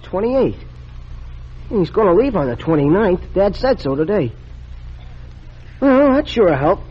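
A man's voice: high voice, big pitch swings, a little slow, slightly rough, full character voice. An actor playing an eager, earnest teenage boy in a 1930s radio drama. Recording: dull lo-fi old radio recording.

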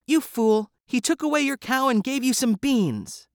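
Shrill scolding storybook mother, very high as a man puts her on, gravelly underneath. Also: lively intonation, moderate pace, no room sound, clean studio sound. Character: angry scolding mother, woman.

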